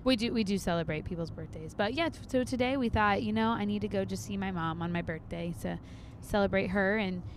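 A woman's voice: medium pitch, fairly quick, hardly any pauses, a little hiss or hum. A cheerful woman in her thirties co-hosting a podcast, chatting casually with her husband. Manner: cheerful, laughs easily. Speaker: podcast co-host. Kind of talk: casual chat. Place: in a car.

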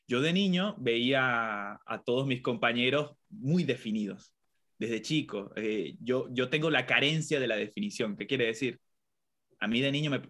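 A man's voice: medium pitch, a bit bright. A young man from Venezuela with a mixed Venezuelan, Colombian and Argentine Spanish accent, telling his life story reflectively as an interview guest. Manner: tells anecdotes, acts out childhood voices.

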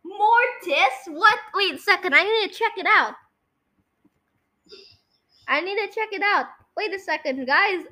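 A female-sounding young host with a high, bright voice. The delivery is casual and excitable, like friends chatting over a game, with phrases often repeated. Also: measured pace, pauses often. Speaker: podcast host. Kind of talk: casual game chat, guessing voice lines.

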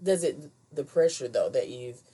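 A woman co-hosting a casual chat, telling stories and asking questions in an informal, animated way. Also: extremely low pitch, normal speed, slightly rough. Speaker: podcast co-host, interviewer.

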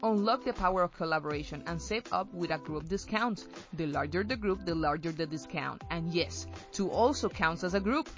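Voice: mid-range voice, animated, moderate pace, few pauses, lo-fi sound, faint music behind. A speaker reading an upbeat event promotion. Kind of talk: read promo and chat.

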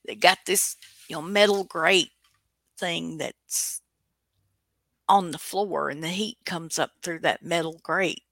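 A woman's voice: lowish voice, slow pace, vocal fry, a bit bright, no room sound. A middle-aged or older American woman hosting a radio show in a casual, rambling, chatty way. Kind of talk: casual chat.

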